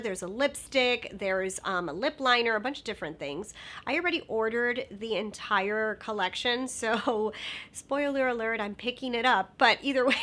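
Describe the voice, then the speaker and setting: Bright, nasal young woman vlogger. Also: fairly high pitch. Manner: bubbly, lots of "like" fillers. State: nasal from a sinus infection. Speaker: beauty vlogger. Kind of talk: chatty makeup review.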